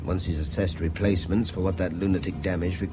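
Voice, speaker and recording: A man's voice: deep voice, fairly even intonation, fast, lightly acted. An actor playing an educated middle-class Englishman, wry and harried, articulate, in a radio drama. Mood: tense, weary. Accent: British English. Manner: articulate, theatrical turns of phrase. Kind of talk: naturalistic radio drama acting. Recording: noisy radio drama with background ambience.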